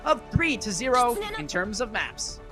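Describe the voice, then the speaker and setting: The voice is an esports caster calling a live match in a chatty, self-deprecating way. It sits in a middle pitch, with music clearly playing behind it on the broadcast. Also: big pitch swings, unhurried. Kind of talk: live esports match commentary with casual asides.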